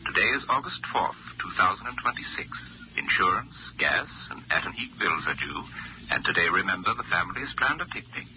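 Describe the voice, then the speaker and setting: A man's voice: low voice, very animated, fairly quick, noisy background. A man voicing an automated house's talking machine with formal, sing-song announcements in a 1950s radio drama. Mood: formal announcing. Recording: muffled old radio broadcast, loud music.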